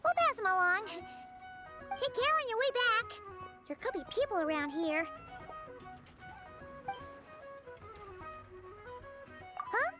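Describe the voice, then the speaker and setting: Tiny childlike game companion, very high squeaky voice, swooping intonation, cheeky, chatty, music underneath. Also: female voice, very animated, a little slow, heavily acted. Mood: curious, chirpy. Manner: exclamatory, casual, chatty. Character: small excitable childlike female companion, casual and cheeky. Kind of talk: animated video game voice acting.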